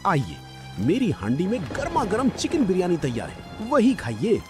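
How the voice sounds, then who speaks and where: A man voices a boastful cartoon biryani hawker. He is mocking and smug, high in pitch and extremely animated, over soft music. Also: normal speed. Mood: scornful, coaxing. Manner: taunting, smug.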